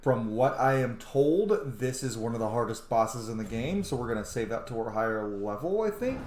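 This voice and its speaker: A man's voice: medium pitch, lively intonation, normal speed, darkish tone, slight background noise. A man hosting a gaming channel, giving informal, sweary let's play commentary. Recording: roomy echo, game music behind. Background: video game music.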